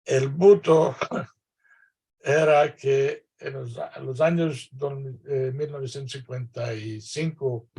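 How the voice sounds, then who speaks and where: An older man from Greece speaks slowly over a video call, his voice gravelly and a little husky. He hesitates, restarts and fills pauses, laughing now and then as he answers. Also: medium pitch, steady tone, mellow. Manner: hesitant, many fillers and restarts, laughs often. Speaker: guest linguist. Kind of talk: conversational interview answers.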